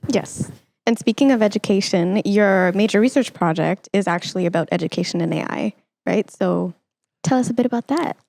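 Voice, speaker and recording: Creaky-voiced woman hosting a podcast. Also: medium pitch, a little fast, darkish tone, slight background noise. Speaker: podcast host. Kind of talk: casual interview chat.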